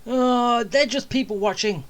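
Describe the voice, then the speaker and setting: Slow-paced casual game streamer. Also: mid-range voice, fairly bright tone. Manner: jokes along, reacting to game dialogue. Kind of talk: casual playthrough commentary.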